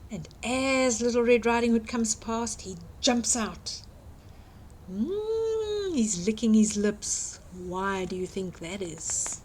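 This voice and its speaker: Lady reading kids a fairytale, high voice, super animated and dramatic, takes her time. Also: slow pace, slight background noise. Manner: dramatic, asks the listener questions, exclaims. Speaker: children's storyteller. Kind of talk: expressive fairytale read-aloud for young children.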